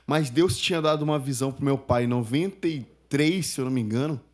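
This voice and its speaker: A man in his thirties, a native Spanish speaker, telling his story as a podcast guest in a casual, animated way. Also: mid-range voice, fast. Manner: chatty, animated storyteller, laughs while telling.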